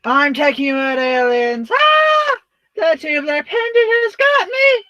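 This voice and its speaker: A woman's voice: high-pitched, sing-song, a little slow, pushed and bright, no echo, highs cut off, heavily acted. A woman playing an overdramatic, talkative dying male soldier in a comic radio play, wailing melodramatically. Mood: bellowing, alarmed.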